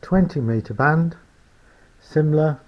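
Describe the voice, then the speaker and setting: A man informally presenting a hands-on demonstration of his homemade radio build, explaining it in a hesitant, halting way. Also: fairly high pitch, muffled recording.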